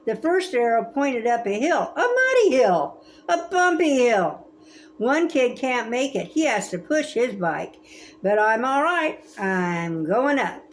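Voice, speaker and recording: A woman in her seventies reading a children's story aloud in a gentle, expressive, grandmotherly way. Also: fairly high pitch, takes it slowly, a little hiss or hum. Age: 70s. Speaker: storybook reader.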